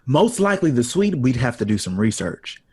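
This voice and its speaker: A man's voice: medium pitch, big pitch swings, normal speed, darkish tone, breathy edge, no room sound. A man playing an earnest, weary composer in an audio drama, modest, polite and thoughtful. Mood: thoughtful, subdued.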